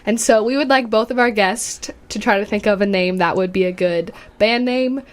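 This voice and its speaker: A woman's voice: mid-range voice, normal speed. Giggly young woman college radio co-host. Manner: giggly, laughs between lines. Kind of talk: casual chat. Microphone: studio mic.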